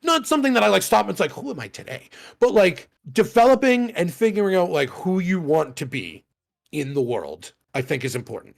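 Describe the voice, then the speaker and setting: A man in his thirties talks through a casual podcast chat, rambling, swearing freely and laughing at himself. His voice sits on the high side and has a gravelly edge. His pitch swings widely from line to line. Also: very animated, a little fast. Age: 30s. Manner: rambling, profane, self-mocking, laughs often. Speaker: podcast co-host.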